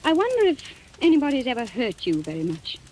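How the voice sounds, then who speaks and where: A woman's voice: sits high, huge pitch swings, fast talker, a little mellow, rough recording. A woman playing a proud, guarded, desperate blackmail victim in an old radio mystery, speaking in an earnest, clipped way. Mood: defiant, probing. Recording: old radio recording with background hiss.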